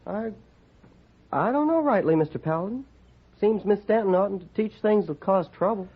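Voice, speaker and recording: A man's voice: high-pitched, lively intonation, normal speed, husky. An actor playing an earnest, plain-spoken country boy with a rural American accent in an old-time radio western, simple and folksy. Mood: earnest, unsure. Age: child character. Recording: muffled vintage radio recording.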